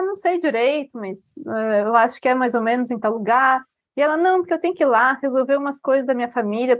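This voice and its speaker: A woman's voice: sits high, dry recording, muffled recording. A woman from Brazil, a writer chatting casually in an interview, rambling with many fillers. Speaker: author guest.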